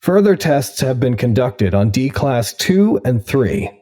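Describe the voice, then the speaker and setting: An actor playing a detached narrator who reads clinical case logs in a formal, deadpan way. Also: male voice, mid-range voice, unhurried. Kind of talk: scripted audio drama narration.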